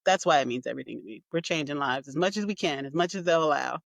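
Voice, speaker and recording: A woman guest speaking with warm, heartfelt enthusiasm in a casual interview. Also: deep voice, quick pace, creaky, dry recording.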